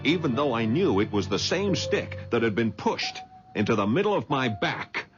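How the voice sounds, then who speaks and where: An actor playing a private detective who narrates his own story in a 1970s radio drama, dry and measured. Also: medium pitch, sing-song, lightly acted. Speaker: narrator and lead. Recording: muffled 1970s radio broadcast with music.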